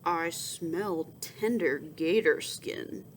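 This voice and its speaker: A woman voicing a big, hungry male boar villain from a storybook, raspy and snorting, chanting drawn-out threats. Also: lowish voice, slight background noise, pitched below the performer's own voice. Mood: hungry, menacing. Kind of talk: storybook read-aloud.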